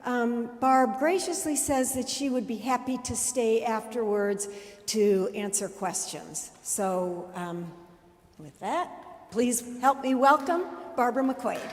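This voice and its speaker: A higher-voiced woman introduces a guest at a church event, speaking very slowly and haltingly, with lots of fillers. The room adds a long, hollow reverb. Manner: slow, halting, many fillers. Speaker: event host. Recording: echoey hall with long reverb.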